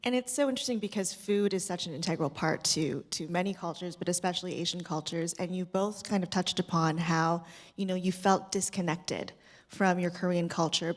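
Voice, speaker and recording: Lowish-voiced woman moderating in a hall. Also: steady tone, a little fast. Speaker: panel moderator. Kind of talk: conversational live Q&A moderating. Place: theatre stage at a live screening Q&A. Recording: reverberant hall, stage microphone.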